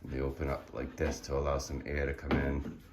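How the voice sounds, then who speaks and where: A hoarse man casually walking through his build in a garage workshop, explaining with many fillers and restarts. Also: extremely low pitch, completely flat delivery, brisk, over music. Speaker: car builder showing his project.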